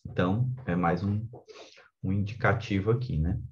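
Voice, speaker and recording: A man's voice: low voice, steady tone, moderate pace, muffled recording. A man with a Brazilian accent giving an informal explanatory lesson as an exam coach, conversational and often tagging his points with little check-in questions. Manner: conversational, frequent tag questions seeking agreement.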